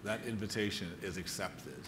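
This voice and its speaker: Low-voiced man hosting in an echoey hall. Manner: formal, appreciative, deliberate. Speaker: interviewer, event host. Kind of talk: live on-stage interview. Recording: echoey hall, noisy audience.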